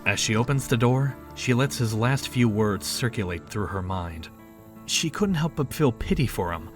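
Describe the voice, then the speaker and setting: A man's voice: mid-range voice, lively intonation, normal speed. A man narrating a scripted audio drama in storybook style. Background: background music.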